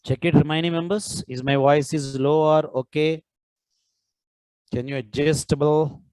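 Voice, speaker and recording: A man leads an online programming class, talking students through each step and urging them to join in. He speaks slowly at a middle pitch, and the sound is close and dry, with no room echo. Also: fairly even intonation, darkish tone, smooth. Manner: coaxing, repeats prompts to students. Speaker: programming instructor. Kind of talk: live online coding class.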